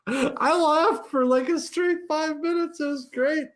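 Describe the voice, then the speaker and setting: A man co-hosting a podcast, chatting informally in a loose, conversational way. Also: very high voice. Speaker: podcast co-host. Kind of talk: casual chat, personal anecdotes.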